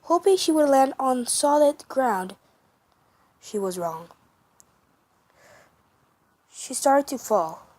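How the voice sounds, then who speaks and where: A woman narrating a fan story for her first amateur comic dub, halting with many restarts and self-corrections. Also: a little high, a little mellow, slightly rough, no echo. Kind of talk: reading a fan story aloud.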